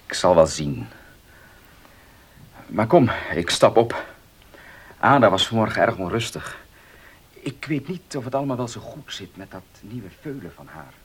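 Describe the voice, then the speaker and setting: An actor in a Dutch radio drama playing a stubborn, embittered farmer, with a gruff, earnest, slightly husky way of speaking. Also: male voice, fairly low pitch, lively intonation, unhurried, long pauses. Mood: reluctant.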